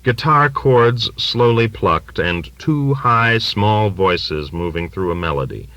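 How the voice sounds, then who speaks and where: A man reads literary fiction in a very low voice with a bright, forceful edge. The narration is scripted and bookish, delivered as an audiobook reader would. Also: animated, slow pace, dry recording, poor audio. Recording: dry audiobook recording from cassette.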